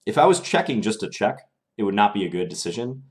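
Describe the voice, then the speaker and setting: Deep-voiced man commentating chess live. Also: fast talker. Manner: relaxed, thinking aloud with many fillers. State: voice slightly strained. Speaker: chess streamer.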